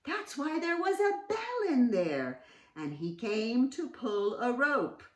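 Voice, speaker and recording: A woman reads a picture book aloud for young children. Her high voice moves widely up and down, with dramatic emphasis and questions to the listener. It sounds echoey, as if recorded a little away from the mic. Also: very animated, slow pace. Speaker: children's read-aloud host. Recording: roomy echo, distant mic.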